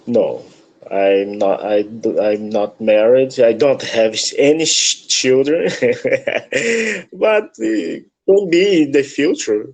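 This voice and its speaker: Slow, halting man with a Brazilian accent. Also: medium pitch, darkish tone, rough recording. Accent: Brazilian Portuguese. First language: Portuguese. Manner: halting, searching for words. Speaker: English student. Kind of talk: online English lesson conversation.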